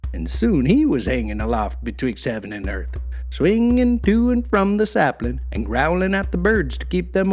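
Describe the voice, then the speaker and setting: A man telling a folktale as an expressive, folksy scripted narration. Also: medium pitch, breathy edge. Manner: folksy, animated. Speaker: storyteller.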